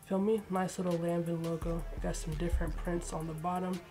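A woman's voice: lowish voice, little pitch movement, brisk, mellow, soft background music. A young woman talking casually through a sneaker unboxing, a bit congested, in a slangy, chatty way. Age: young adult. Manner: laid-back, chatty, slangy. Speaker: sneaker vlogger. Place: bedroom with shoe shelves, indoors.

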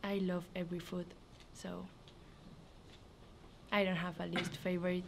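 A woman's voice: fairly low pitch, level tone, a little slow, vocal fry, a little hiss or hum. A teenage girl from Spain, an exchange student, answering carefully in a school TV interview. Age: teens.

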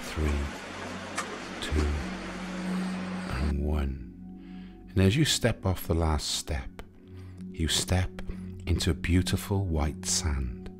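A man narrating a guided sleep meditation in a soothing, gentle, unhurried way, leaving pauses between short phrases. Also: extremely low pitch. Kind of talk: guided sleep meditation, hypnotic relaxation countdown. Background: music.

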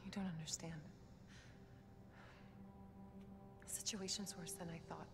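A woman's voice: lowish voice, steady tone, quick pace, over loud music, close to an everyday voice. Woman playing guarded tough female operative, long reverb. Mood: guarded, weary. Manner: cool, dry, guarded. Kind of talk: naturalistic video game acting. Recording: reverberant, with noisy game ambience.

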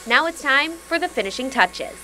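A woman's voice: high voice, expressive, moderate pace. A young woman with an American accent, reading scripted narration for a TV news feature. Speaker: TV news reporter. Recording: loud workshop noise under narration.

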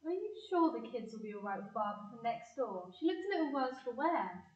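A woman acts a fretful Englishwoman in an audio play, her voice high and earnest. She sounds worried and questioning, in a dull, echoey recording. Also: big pitch swings, a little fast, darkish tone, distant mic. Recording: muffled echoey room, away from mic.

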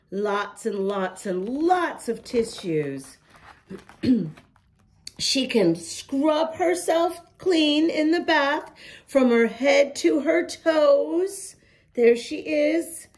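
A woman's voice: on the high side, a little mellow. A woman reading a picture book aloud to young children, adding chatty little asides for her listeners. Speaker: children's storybook reader.

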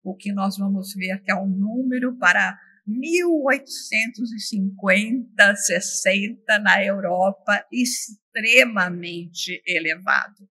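A middle-aged woman giving a passionate, expressive lecture from a lectern in a large auditorium, often restarting her phrases. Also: mid-range voice, slow pace, hardly any pauses. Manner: enthusiastic, expressive, with frequent restarts. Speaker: opening speaker at a spiritist congress. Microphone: lectern microphone.

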